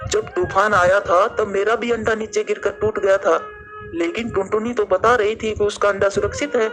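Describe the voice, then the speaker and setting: A man's voice: high voice, fairly even intonation, normal speed, a bit bright, faint music behind, rough recording. A man voicing an earnest, concerned adult male bird in a cartoon, speaking seriously. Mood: puzzled.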